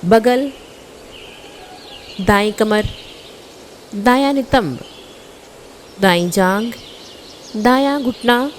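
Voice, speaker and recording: A woman guiding a yoga nidra meditation as an instructor, giving measured instructions in short phrases with pauses. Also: fairly high pitch, a little hiss or hum.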